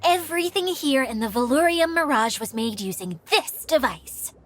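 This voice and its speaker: Eager, flustered, high-voiced young female guide. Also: expressive, moderate pace, fairly bright tone, slight background noise. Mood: bright, eager. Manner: hesitant, apologetic, stammering. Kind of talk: video game cutscene acting.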